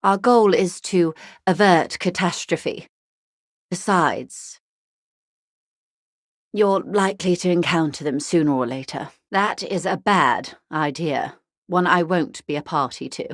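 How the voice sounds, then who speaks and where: An actress voicing a composed, well-educated female corporate negotiator in a video game, speaking formally and politely in a measured, articulate way. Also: fairly low pitch, dry recording, clean studio sound. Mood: cautionary, composed. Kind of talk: dramatic video game dialogue.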